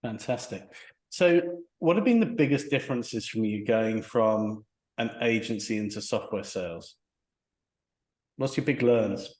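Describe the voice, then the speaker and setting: A man's voice: lowish voice, expressive, a little slow, darkish tone. A man hosting a podcast, asking questions in a casual, conversational interview style. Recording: roomy, echoing sound.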